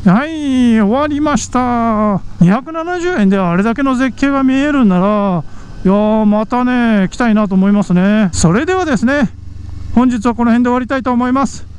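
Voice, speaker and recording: High-voiced man riding and narrating. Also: moderate pace, slight background noise. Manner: excited, frequent exclamations at the scenery. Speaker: motovlog host. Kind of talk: casual motorcycle vlog commentary. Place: riding a motorcycle outdoors on a mountain road. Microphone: helmet mic on a moving motorbike.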